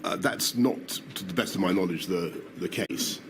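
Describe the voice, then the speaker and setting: A man's voice: deep voice, normal speed. A man giving formal testimony, hesitant and politely demurring, with some noise on the hearing-room recording. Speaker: inquiry witness.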